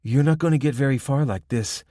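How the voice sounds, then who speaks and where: Brief: man playing a young woman lookout, Spanish accent, cocky and teasing, voice pushed high, dry close sound. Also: a little fast, mellow, no room sound, close to an everyday voice. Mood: taunting. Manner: mocking, self-assured. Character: tough young woman gang lookout, confident.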